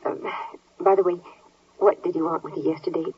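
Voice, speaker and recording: An actress playing a homely frontier wife, gently probing, in a 1950s radio drama. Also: female voice, on the low side, steady tone, brisk, close to an everyday voice. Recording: muffled, noisy, distant 1950s radio recording.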